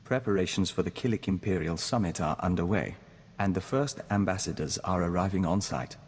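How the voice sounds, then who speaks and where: A calm courteous hive diplomat from a game, low voice, formal and measured, big echo. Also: male voice, breathy edge. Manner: measured, formal, speaks of himself as we. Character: serene, courteous male hive-bonded diplomat, mystical and devoted. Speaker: companion character in a video game. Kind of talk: scripted game dialogue.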